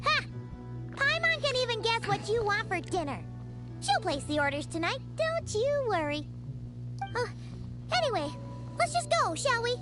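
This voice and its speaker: A woman voicing a tiny, cheeky, excitable childlike companion in a video game. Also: very high-pitched, normal speed, long pauses, over music. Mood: teasing. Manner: third-person self-reference, excitable, cheeky. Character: Paimon, tiny chirpy childlike floating companion, female, cheeky.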